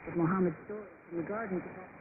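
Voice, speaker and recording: A woman's voice: fairly low pitch, fairly even intonation, very fast, narrow, tinny audio. A woman reporter reading scripted documentary narration for a radio news programme, heard over shortwave. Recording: noisy band-limited shortwave radio broadcast. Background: heavy shortwave static.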